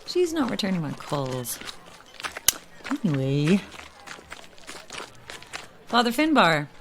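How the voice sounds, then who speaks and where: An actress playing a blunt, sardonic Irish news reporter in a naturalistic audio drama. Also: female voice, lowish voice, very animated, takes it slowly, background noise, heavily acted. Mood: wry. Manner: dry, sardonic.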